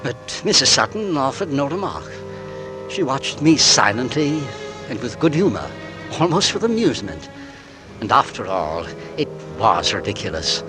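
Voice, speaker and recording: Actor as anxious gentleman, expressive radio suspense. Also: medium pitch, close to an everyday voice. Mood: brooding, uneasy. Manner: formal, deliberate, hesitant. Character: anxious, suspicious married gentleman narrating his own torment. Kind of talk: 1940s radio suspense acting and first-person narration. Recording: old radio recording with dramatic music behind.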